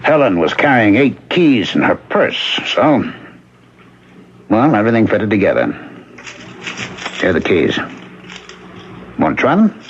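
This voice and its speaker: An actor in a 1970s radio drama playing an earnest former hobo, insistent and pleading in manner. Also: male voice, on the low side, very animated, a little slow, long pauses. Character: earnest, persistent working man, former hobo. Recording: dull, noisy old radio recording.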